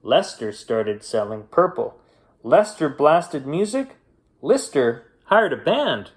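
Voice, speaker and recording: A man narrating a children's picture book as an expressive, unhurried bedtime storyteller with deliberate dramatic pauses. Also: on the high side.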